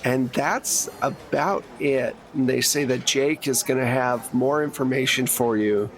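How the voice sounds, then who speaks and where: A man's voice: medium pitch, measured pace. A man running a tabletop game as game master, narrating casually and informally with joking asides. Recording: clear background noise.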